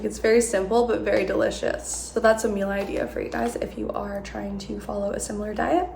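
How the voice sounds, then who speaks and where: A woman's voice: mid-range voice, gravelly, darkish tone, echoey room, a little hiss or hum. A young woman vlogging at home in a casual, chatty way, still congested from a cold. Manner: chatty, friendly, talking to viewers. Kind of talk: casual haul vlog talk.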